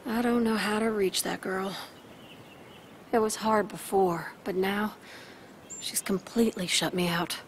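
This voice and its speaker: A woman's voice: mid-range voice, steady tone, unhurried, long pauses, slight background noise, lightly acted. An actress playing a stressed, defensive mother in a dramatic video game, guarded and curt in her delivery. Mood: weary, frustrated.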